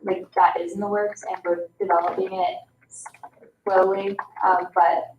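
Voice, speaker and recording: American woman, medium pitch, informal meeting update, echoey room, faint background noise. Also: flat delivery, a little slow, distant mic, highs cut off. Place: indoor public meeting room.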